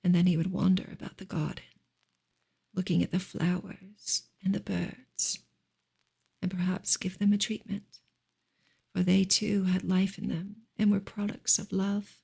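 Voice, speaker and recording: A woman reading a novel aloud in a soft, hushed, breathy ASMR style, often slipping into a whisper. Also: on the low side, little pitch movement, measured pace. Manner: hushed, gentle delivery.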